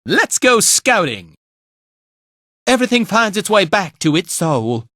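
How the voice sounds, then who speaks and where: An easygoing, teasing male fighter from a video game. His pitch leaps about wildly and the tone is bright and sharp. He drawls short, flippant lines at an unhurried pace, with a world-weary shrug. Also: big pitch swings, no room sound, studio quality, heavily acted. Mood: brisk, casual.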